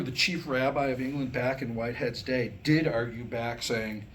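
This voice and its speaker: A man reading a scripted comic monologue at a live staged reading, with a wry, mock-scholarly delivery and deliberate phrasing. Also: medium pitch. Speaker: writer presenting his new humorous script. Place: live staged reading before a small audience. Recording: clearly noisy live room recording.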